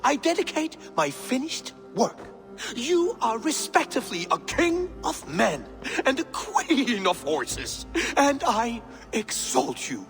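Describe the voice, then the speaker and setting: A man playing a self-important Norse poet in a video game, speaking in grandiloquent, theatrical phrases with pauses for effect. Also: very high-pitched, very animated, fairly bright tone, heavily acted. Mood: florid apology. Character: flamboyant, self-important Norse male poet, unrepentant borrower. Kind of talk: video game cutscene acting. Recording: long hall reverb. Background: music.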